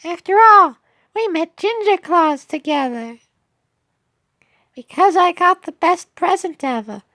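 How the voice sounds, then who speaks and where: A woman voicing a sweet, excitable young cookie child who has been poor and lonely, earnest and gushing in a story read aloud. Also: very high-pitched, measured pace, no room sound, studio quality, full character voice. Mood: cheerful, friendly.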